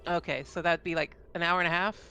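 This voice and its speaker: A woman's voice: lowish voice, steady tone, fast talker, sharp tone, clear, resonant tone, faint music behind. A woman talking casually as a player in a tabletop roleplaying game, slipping in and out of character.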